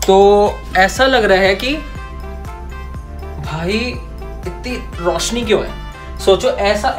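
A man in his twenties giving a casual, jokey tour of his small apartment, talking into a phone held at arm's length and mixing English into his Hindi. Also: high-pitched. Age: 20s. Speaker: vlogger. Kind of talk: casual home-tour vlog.

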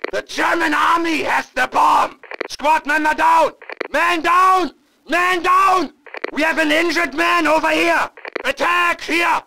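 A man's voice: very high voice, vocal fry, sharp tone, slight background noise, heavily acted, poor audio. A man playing a stern German army squad leader in a war video game, urging his men on in formal, urgent commands. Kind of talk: shouted military announcements.

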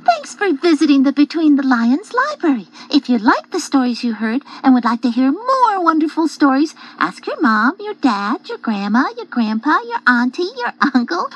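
A woman voicing a cheerful young girl lion cub who hosts a children's story tape. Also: high voice. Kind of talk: scripted children's audio hosting. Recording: dull cassette, faint noise.

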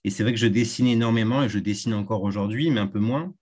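A man's voice: on the low side, quick pace, a little mellow, breathy edge. A man whose first language is Spanish, a tour guide, answering interview questions thoughtfully with frequent hesitations.

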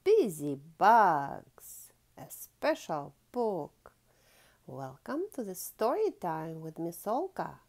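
A woman's voice: lowish voice, huge pitch swings, takes it slowly, a little mellow. A woman hosting a children's storytime, reading a picture book aloud expressively, drawing out key words and prompting young listeners like a teacher.

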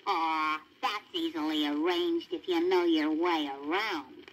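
A woman voicing a cocky, streetwise male stray dog in a storybook, with a jaunty, swaggering way of talking and a lowered voice. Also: takes it slowly.

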